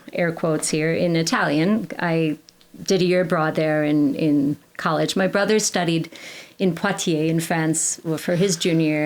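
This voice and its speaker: Creaky-voiced woman singer chatting. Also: sits low, a little mellow. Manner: rambling, self-correcting, chatty with frequent fillers. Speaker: podcast guest, classical singer. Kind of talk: casual conversational interview.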